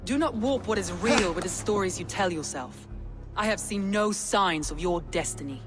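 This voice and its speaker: A woman voicing a stern, measured Danish clan leader in a cinematic video game. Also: medium pitch, music in the background, lightly acted. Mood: angry, accusing.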